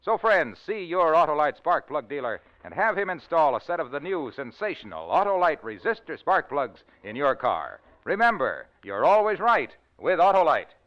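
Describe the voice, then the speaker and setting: A man's voice: fairly high pitch, big pitch swings, moderate pace, few pauses. An American man reading a 1940s radio commercial with emphatic, salesman-like delivery. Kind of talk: 1940s radio advertising read. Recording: muffled old radio recording.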